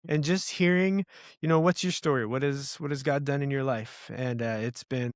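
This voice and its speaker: A man's voice: medium pitch, fairly even intonation, moderate pace, no room sound, studio quality. A man hosting a faith podcast, with an upbeat, joking, rambling way of talking. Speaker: podcast host. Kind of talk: casual podcast chat.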